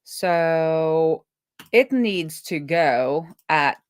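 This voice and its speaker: Woman, low voice, close dry sound, informal live coding stream, thinking aloud. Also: expressive, extremely slow, clear, resonant tone, dry recording. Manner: informal, self-talking.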